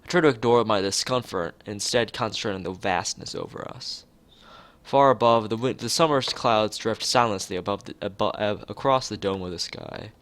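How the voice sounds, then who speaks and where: A man reading a story game aloud in an expressive narrating style, occasionally stumbling over words. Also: lowish voice, normal speed. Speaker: let's player reading a visual novel aloud.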